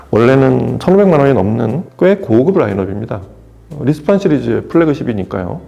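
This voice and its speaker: A man giving an explanatory product review as an audio equipment reviewer, talking in a measured way with emphatic pauses. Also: mid-range voice, vocal fry, dark tone.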